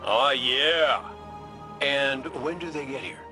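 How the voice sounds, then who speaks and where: A man's voice: medium pitch, slow, lightly acted. An actor playing a cocky, easygoing robot gunslinger in a video game, wry and flippant in his delivery. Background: game music.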